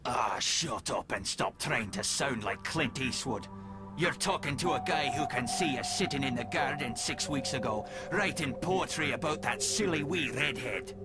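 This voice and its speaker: Man acting a spiteful Scottish teen villain, lively pitch. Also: normal speed, over loud music, natural voice. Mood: sneering. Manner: mocking, swaggering. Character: taunting, spiteful teenage Scottish male mutant villain. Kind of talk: motion comic voice acting.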